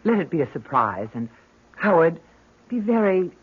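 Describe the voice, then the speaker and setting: A woman playing a caring, anxious middle-aged wife and mother in a 1970s radio drama, fussing and gently chiding. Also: fairly low pitch, sing-song, moderate pace, slightly rough. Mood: tender, worried. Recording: muffled 1970s radio recording.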